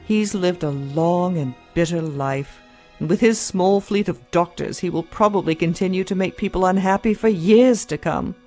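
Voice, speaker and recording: A woman's voice: fairly low pitch, normal speed, darkish tone, faint music behind, natural voice. An actress playing a principled, bluntly defiant female doctor in an audio drama. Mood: bitter disapproval.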